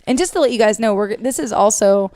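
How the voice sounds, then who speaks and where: A young American woman with a loud voice, telling stories as a co-host in casual, animated podcast chat and swearing freely. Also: mid-range voice, fast talker, dry recording.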